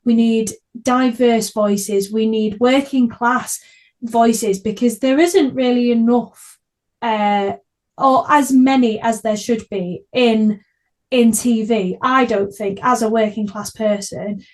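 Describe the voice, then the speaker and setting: A woman's voice: fairly high pitch, steady tone, slow pace. A woman hosting a podcast in a casual, chatty, effusive conversational way. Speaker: podcast host.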